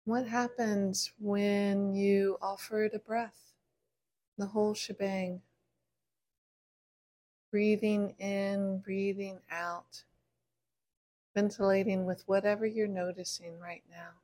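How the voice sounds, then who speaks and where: A woman meditation teacher leading a guided body scan in a gentle, unhurried way, pausing between short phrases. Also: medium pitch, level tone.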